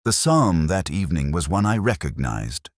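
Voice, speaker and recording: A man playing a weary, reflective older police detective, narrating a detective drama in a measured, literary, melancholic way. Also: low-pitched, sing-song, no echo, studio quality. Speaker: first-person narrator.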